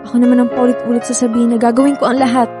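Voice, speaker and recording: A woman's voice: fairly high pitch, flat delivery, very fast, music in the background, close to an everyday voice. An actress playing a remorseful young woman in tears with a Filipino accent in a radio drama. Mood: pleading, devoted. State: crying. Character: tearful, remorseful young woman begging an ex back.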